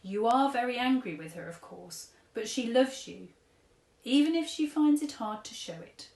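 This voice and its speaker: A woman voicing a kindly, wise old man who talks gently in an old-fashioned way, in an echoey room. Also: fairly high pitch, expressive, normal speed, vocal fry, pitched above the performer's own voice. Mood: reassuring.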